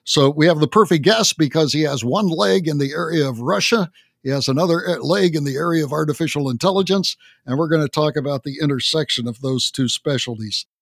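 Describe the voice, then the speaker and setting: A man's voice: fairly high pitch, creaky, no echo. Dry-sounding podcast host, a man. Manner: measured, asks follow-up questions. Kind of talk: interview podcast.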